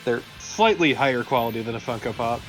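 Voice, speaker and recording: Guy chatting along to a game, average pitch, dry little remarks, music in back. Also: fairly quick, fairly bright tone, poor audio. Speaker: co-commentator. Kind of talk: casual game banter.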